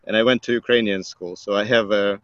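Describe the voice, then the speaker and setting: Low-voiced man. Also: fairly even intonation, a bit bright, no echo, highs cut off. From Kazakhstan. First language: Russian. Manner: halting, searching for words. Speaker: participant. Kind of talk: casual group video call.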